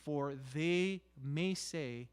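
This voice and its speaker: Male preacher, slightly high voice, very unhurried, conversational yet emphatic, halting delivery with rhetorical questions. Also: clear, resonant tone. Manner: halting, with repeated "you know" and rhetorical questions. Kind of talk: conversational sermon.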